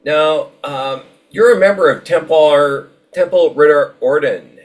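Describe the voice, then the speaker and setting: A man hosting an informal interview, speaking in a halting, conversational way and repeating words. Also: on the high side, poor audio. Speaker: interviewer and show host.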